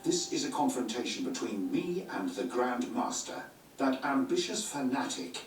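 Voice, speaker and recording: A man's voice: medium pitch, lively intonation, normal speed, a little hiss or hum, lightly acted. An actor playing a brusque, crude male king giving orders in a game cutscene. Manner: brusque, coarse, domineering. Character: crude commanding middle-aged male king. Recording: echoey distant game audio with music.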